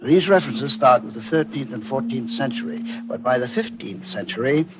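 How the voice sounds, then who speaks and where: An actor playing a grave, elderly, grandfatherly doctor in a 1940s radio drama, speaking in a measured, deliberate, ominous way. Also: mid-range voice, animated, natural voice. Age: older. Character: grave elderly doctor, grandfatherly, revealing dark family secrets. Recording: muffled, noisy vintage radio broadcast.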